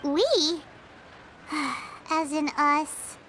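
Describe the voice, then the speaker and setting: A woman voicing a tiny, excitable fairy-like companion in a video game, with a very high, childlike voice, quick to protest. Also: soft background music, heavily acted. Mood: indignant.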